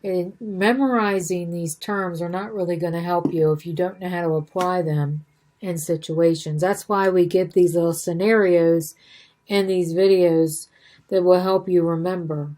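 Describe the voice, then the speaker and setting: A woman's voice: fairly low pitch, fairly even intonation, moderate pace, mellow. A woman teaching real estate exam material in a casual, repetitive way, saying she is losing her voice. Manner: conversational, repeats points, self-corrects. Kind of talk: casual study-guide lesson.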